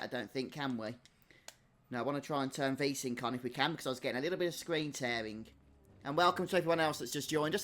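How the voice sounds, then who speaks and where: A man's voice: a little high, a bit bright. A man giving casual, chatty live gameplay commentary to his viewers as a streamer. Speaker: game streamer. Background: game music.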